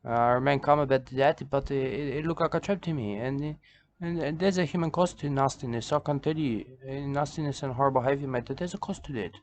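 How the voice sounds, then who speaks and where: Man, mid-pitched, rambling comic commentator; repeats himself, broken grammar, faint hiss behind. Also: fast talker. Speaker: commentator reacting to a video.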